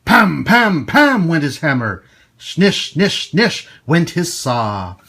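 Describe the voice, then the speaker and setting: A man's voice: a little high, very animated, slow pace, bright, forceful tone, slightly rough. A man hosting a children's story time, reading aloud expressively and speaking directly to young listeners with exclamations. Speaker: children's storytime host and reader.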